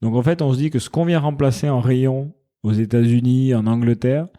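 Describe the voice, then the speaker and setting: A man with a mellow, relaxed tone chats as a podcast guest. He explains his business earnestly and works his thoughts out as he speaks, in an easygoing conversational way. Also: mid-range voice, fairly quick. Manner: earnest, explanatory, thinks aloud mid-sentence. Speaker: founder of a wine company, podcast guest. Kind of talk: casual interview chat.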